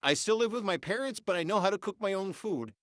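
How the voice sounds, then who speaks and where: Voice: mid-range voice, animated, fast, fairly bright tone, no room sound, studio quality. A nineteen-year-old vlogger, congested and sniffling, ranting heatedly and swearing through an AI voice filter. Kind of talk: unscripted rant.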